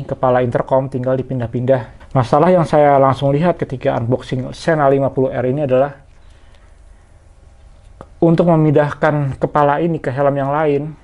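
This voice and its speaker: A man's voice: medium pitch, a little mellow. A man talks viewers through a casual product review in a conversational, explanatory way. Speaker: motorcycle gear reviewer.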